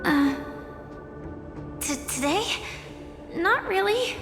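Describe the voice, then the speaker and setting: A woman playing an earnest, gentle young girl warrior in a video game, thoughtful and slightly hesitant. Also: very high-pitched, lively intonation, drawn-out words, creaky, exaggerated voice. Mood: flustered. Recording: echoey reverb hall, music underneath. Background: game music.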